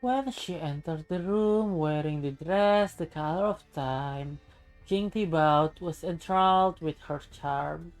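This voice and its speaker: A woman streamer narrating a visual novel aloud in a deliberate, expressive storybook style. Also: low-pitched, poor audio. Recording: music behind the voice. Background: game music.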